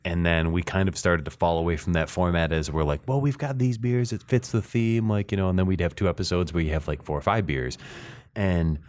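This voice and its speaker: A man with a very low, deep voice hosts a casual beer podcast. He rambles loosely and piles on fillers and restarts as he talks. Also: fairly quick. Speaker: podcast host. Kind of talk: casual chat.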